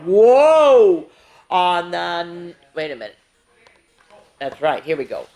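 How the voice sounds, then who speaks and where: An older woman hosting a story time, reading picture books aloud to children with playful, animated asides. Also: on the low side, slow. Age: older, grandmother. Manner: playful, animated, chatting in asides to young listeners.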